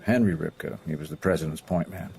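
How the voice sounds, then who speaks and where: An actor playing a cautious, deferential government security agent in an audio drama, speaking in a guarded, formal, hesitant way. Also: male voice, rumbling low, fast talker, vocal fry, darkish tone.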